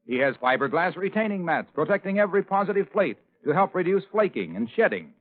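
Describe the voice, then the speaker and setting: A man delivering brisk, polished salesman patter in a scripted vintage radio commercial. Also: sits high, sing-song. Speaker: radio announcer and pitchman. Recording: tinny, band-limited vintage radio recording.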